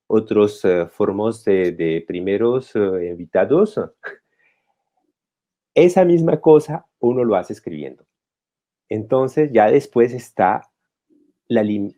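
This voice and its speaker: Colombian man, guest writer, low and mellow, thoughtful and halting, muffled call audio. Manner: reflective, halting with restarts. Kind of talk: conversational talk.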